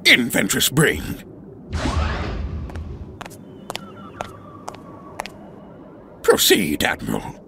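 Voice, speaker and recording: A man playing a tiny, ancient, wise alien master with a gravelly croak, measured cryptic delivery and inverted word order, in an audio drama. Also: deep voice, huge pitch swings, bright, forceful tone, a little hiss or hum, full character voice. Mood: solemn. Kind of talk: fan audio drama acting.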